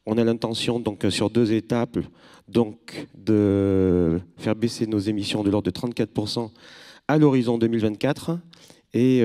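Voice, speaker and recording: Low-voiced corporate man on a panel. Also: mellow. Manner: measured, corporate, many fillers. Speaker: industry representative on a panel. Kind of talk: panel discussion. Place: convention stage, large hall. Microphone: handheld mic.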